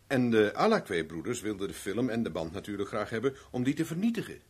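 An actor playing a self-assured, probing private investigator with dry irony in a 1970s radio drama. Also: male voice, on the low side, big pitch swings, fast, breathy edge. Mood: businesslike, explanatory.

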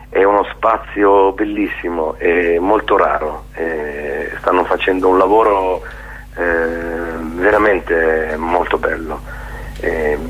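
Deep, husky man in a radio interview. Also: fairly bright tone. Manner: halting and reflective, often seeking agreement with tag questions. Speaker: theatre maker being interviewed. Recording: dull, lo-fi radio interview sound.

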